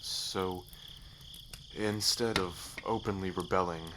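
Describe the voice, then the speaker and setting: A man's voice: low-pitched, steady tone, slow pace, noisy background. A man playing a loyal, hot-headed rebel in an ASMR roleplay, protective, vengeful, earnest and emphatic in delivery. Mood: relieved, earnest.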